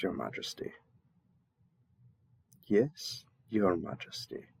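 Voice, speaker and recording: Roleplay audio: polite, flustered male envoy, played by a man, stammering and deferential, very unhurried, dry sound. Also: on the low side, gravelly, warm tone, husky, dry recording, heavily acted. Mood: placating. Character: flustered, polite young royal envoy mistaken for a servant.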